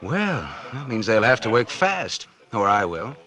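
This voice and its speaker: A man's voice: fairly low pitch, big pitch swings, measured pace, pushed and bright, full character voice. A man playing a bitter, scheming publisher in a 1940s radio drama, sardonic and slangy, with an American accent and a hard-boiled delivery. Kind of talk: 1940s radio acting, first-person noir narration. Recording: old 1940s radio recording with music.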